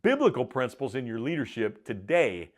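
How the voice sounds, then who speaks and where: A man's voice: mid-range voice, big pitch swings, fairly quick. A middle-aged man giving a motivational monologue as a leadership coach, speaking in a punchy, emphatic, challenging way. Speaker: leadership coach and show host.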